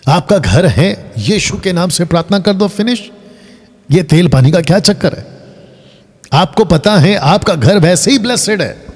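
A man's voice: high voice, animated, measured pace, slightly rough, in a large hall. A man preaching an online sermon in a conversational way, asking rhetorical questions and slipping English words into his talk. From India.

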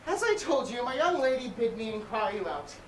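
A woman's voice: fairly high pitch, very animated, a little fast. A woman playing a fussy, bawdy old nurse on stage in Shakespeare, rambling, groaning and teasing. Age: older. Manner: rambling, exclaiming, theatrical groaning and chuckling. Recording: echoey room, distant mic, faint noise.

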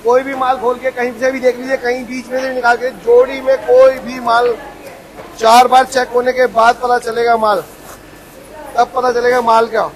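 A man showing visitors around a footwear factory, pitching its products with eager, insistent promises. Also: completely flat delivery, moderate pace. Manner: eager salesman, keeps inviting and promising quality. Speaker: footwear factory owner giving a tour. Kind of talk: sales pitch factory tour. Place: footwear factory floor, indoors. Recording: noisy factory machinery behind.

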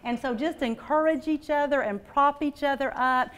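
A woman, a school administrator giving an energetic, folksy training talk to teachers in a large meeting room on a lapel mic. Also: high-pitched, moderate pace. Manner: energetic, folksy, animated gestures. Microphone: lapel mics.

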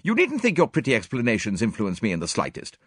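A blunt, vehement Englishwoman from an audiobook, acted out by a male reader. The voice is pitched high and leaps around dramatically. She is agitated and sharp-tongued, exclaiming emphatically in a dry, close recording. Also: wildly swooping pitch, measured pace, slightly rough, dry recording, heavily acted. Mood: indignant. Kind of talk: audiobook character dialogue.

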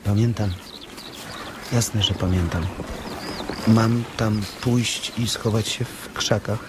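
Radio drama gentle giant, childlike and meek, low slow speech, clearly noisy outdoor ambience. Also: male voice, fairly even intonation. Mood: anxious, obedient. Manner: slow, naive, pleading. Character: big, childlike, simple-minded male farm labourer, meek. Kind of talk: Polish radio drama acting. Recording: noisy, birdsong ambience behind.

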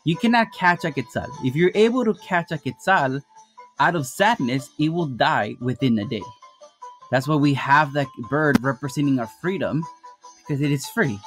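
A Guatemala-born man who teaches math, giving a casual slide presentation to his students and explaining things in a friendly, plain way. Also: medium pitch. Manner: friendly, addresses students as "you guys", explains slowly. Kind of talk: informal classroom presentation over slides. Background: marimba music playing softly.

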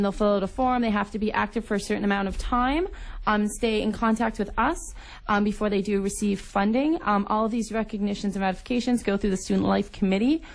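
A young woman being interviewed in a casual way. She talks quickly, with a creaky edge to her voice, and sounds like a student representative explaining how things work. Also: mid-range voice. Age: young adult. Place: radio studio.

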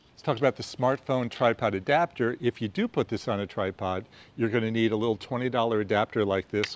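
An American man in his sixties presenting gear tips to camera as a video host, emphatic and fond of listing points. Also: mid-range voice. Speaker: host of a travel photography video show. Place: outdoors on a quiet residential street.

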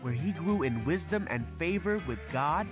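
Male narrator, animated children's Bible story, higher-pitched voice, music bed. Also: moderate pace. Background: background music.

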